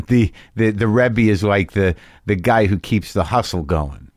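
Low-voiced man interviewing in a dry space. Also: unhurried, no echo. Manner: inquisitive, blunt, informal. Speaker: interview host. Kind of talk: casual conversational interview.

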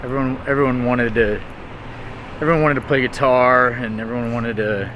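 A man's voice: mid-range voice, steady tone, normal speed, noisy background. A young man from Texas, a music artist being interviewed, talking in a rambling, hesitant way full of fillers. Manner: rambling, hesitant, heavy use of "like". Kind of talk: casual interview talk.

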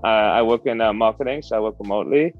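A man in his thirties casually telling his own love story in a relaxed, rambling way, with plenty of fillers. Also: on the low side, fast, muffled recording, faint music behind. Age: 30s. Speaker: interviewee.

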